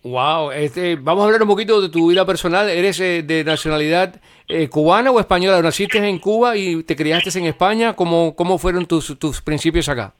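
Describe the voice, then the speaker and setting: A man working as an interviewer, asking informal questions in a halting, encouraging way as he searches for words. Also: on the high side.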